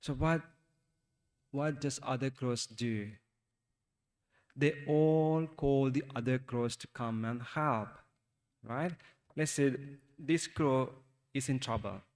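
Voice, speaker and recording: Slow Sri Lankan monk in echoing hall. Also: male voice, mid-range voice, a little mellow. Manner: slow, halting, asks the listeners questions. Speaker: Buddhist monk teaching youth. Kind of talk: Dhamma talk for children.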